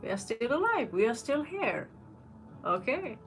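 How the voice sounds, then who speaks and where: A woman gives a live tarot reading at a slow, unhurried pace. She rambles and drifts into personal asides between the cards. Faint music plays underneath her voice. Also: a little high, very animated, a bit bright. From Norway. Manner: rambling, personal asides, reads out viewer questions. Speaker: tarot reader. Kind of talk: live tarot reading, chatty answers to viewer questions.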